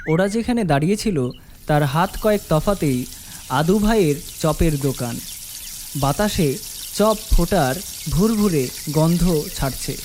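A man's voice: a little high, animated, unhurried, long pauses, noisy background. A man reading a scripted story as its narrator. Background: music.

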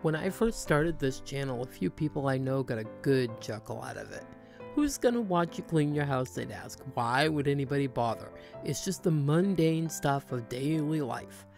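A woman in her thirties, a cleaning channel host, speaking in a soft, earnest, sincere voice-over. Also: extremely low pitch, lively intonation, moderate pace, vocal fry, soft background music. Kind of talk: heartfelt voice-over narration.

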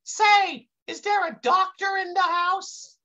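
High-pitched, dim-witted big goofy kid. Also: male voice, very animated, unhurried, creaky, bright, forceful tone, lo-fi sound, heavily acted. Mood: confused, earnest. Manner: old-time comic slang with mangled grammar. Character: big fat dim-witted male intern kid, innocently clueless.

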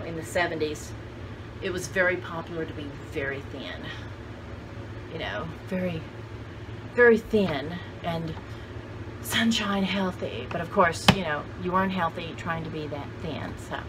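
A woman's voice: sits low, moderate pace. A woman in her sixties chats casually to camera as a vlog host, rambling and enthusiastic, with exclamations. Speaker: vlog host showing thrift haul finds. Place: cluttered home craft room, indoors. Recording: clearly noisy home video background.

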